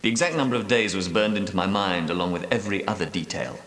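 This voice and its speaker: An old knightly guardian of a holy relic in a video game. His voice is low, solemn and portentous, with formal phrasing. It rings in a roomy echo, a little distant from the microphone. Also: fast talker, fairly bright tone. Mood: wry, assured. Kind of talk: video game dramatic dialogue.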